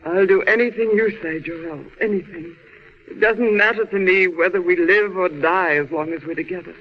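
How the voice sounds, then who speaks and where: A woman's voice: lowish voice, moderate pace, close to an everyday voice. A woman acting in a 1940s radio melodrama, playing a devoted, anxious alien wife and mother with a pleading manner. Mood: tender, worried. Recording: dull, very noisy old radio recording.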